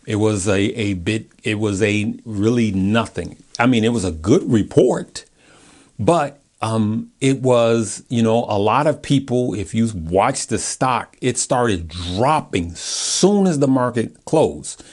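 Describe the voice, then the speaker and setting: A man's voice: lowish voice, animated, takes it slowly, darkish tone. An American man talking casually and informally to his viewers as a stock video host, in a chatty, colloquial way. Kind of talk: casual chat, giving stock commentary from notes.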